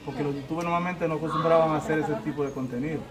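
Guest from the Dominican Republic, quick earnest talker, crowd around. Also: male voice, on the high side, steady tone. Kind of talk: casual chat. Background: crowd chatter.

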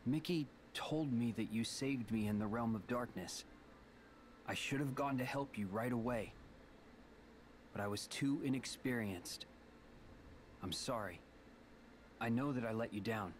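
A man's voice: mid-range voice, a little slow, long pauses, a little hiss or hum, close to an everyday voice. Man playing an earnest, subdued young warrior. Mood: apologetic. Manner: earnest, apologetic, restrained. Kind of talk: dramatic game cutscene acting.

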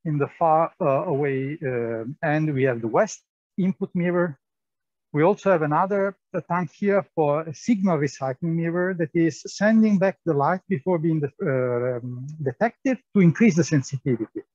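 A man's voice: on the high side, normal speed, a little mellow, dull audio. A man guiding a tour through a large indoor lab, explaining as he walks, with many fillers and repeated words. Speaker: tour guide at a physics lab.

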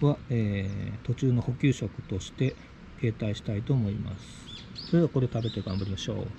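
A man's voice: fairly low pitch, moderate pace, gravelly. A man making casual, murmured remarks to himself as he films a cycling vlog outdoors. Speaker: cycling vlogger. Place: outdoors on roadsides and on a bicycle. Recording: outdoor road noise, passing cars.

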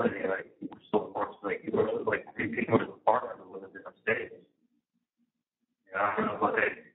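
Low-voiced man on a tinny phone. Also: a little hiss or hum. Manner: loose, halting, filler "like". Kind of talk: casual phone chat. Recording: tinny, radio-like jail phone line.

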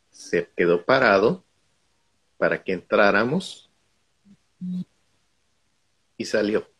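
Middle-aged man, husky, low voice, reflective storyteller, video call. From Guatemala. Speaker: guest speaker.

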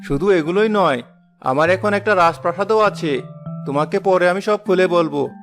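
A man's voice: high-pitched, moderate pace, fairly bright tone, faint music behind. A man voicing a humble, ordinary young villager in a fairy-tale cartoon. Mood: reassuring.

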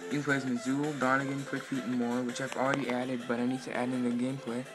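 A man giving a casual, halting, offhand walkthrough of his project, recorded indoors on a handheld camera. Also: medium pitch, level tone, music in the background. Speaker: amateur game developer. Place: indoors at a computer. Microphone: handheld camera pointed at monitor. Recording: noisy amateur room recording.

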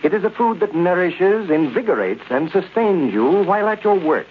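Male vintage radio announcer, animated scripted delivery, tinny phone-like sound, faint noise. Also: high voice, moderate pace. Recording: tinny, noisy 1930s radio recording.

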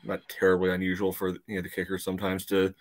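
A man's voice: low voice, completely flat delivery, fast talker. A man in his thirties chatting about sports in a rambling way with many filler phrases, on a video call. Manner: rambling, peppered with "you know". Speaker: podcast panelist. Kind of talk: casual sports chat. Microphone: webcam video call.

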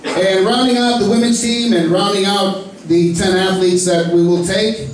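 A man with a fairly high voice announces names and jokes with the panel like a friendly emcee. He is caught from a distance in a cavernous, echoing hall, with steady background noise. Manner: genial, joking, lists names with pauses. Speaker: press conference host. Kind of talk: announcing and moderating a press conference. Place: large echoey indoor hall. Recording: echoey hall recorded from far off, noisy.

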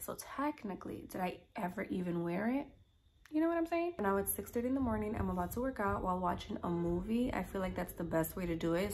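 A woman's voice: mid-range voice, darkish tone. A young woman, chatting casually and informally to camera as a lifestyle vlogger. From Queens, New York, United States.

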